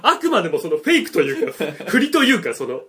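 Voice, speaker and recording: A man's voice: sits high, huge pitch swings, fast talker, a bit bright. A man giving comedic radio advice, explaining with mock authority and acting out imagined quotes. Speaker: radio show advice-giver.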